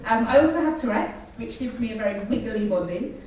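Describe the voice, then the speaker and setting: A woman's voice: a little high, expressive, brisk, darkish tone. A woman speaking on a panel, with frequent vocal tics that drop stray words into her sentences. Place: arts centre venue, before an audience. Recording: very echoey hall, distant mic, noisy.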